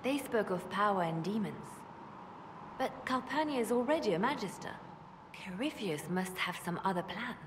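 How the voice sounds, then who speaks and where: A woman playing a cool, calculating spymaster in a fantasy game, measured and intent. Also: mid-range voice, lively intonation, lightly acted. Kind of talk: fantasy video game acting. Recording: noisy echoing hall.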